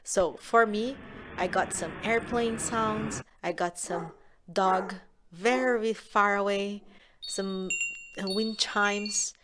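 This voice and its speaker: A woman from Brazil with a Brazilian Portuguese accent, teaching a music lesson to children in a gentle, encouraging way that often drops to a soft whisper. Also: mid-range voice, steady tone, slow, noisy background.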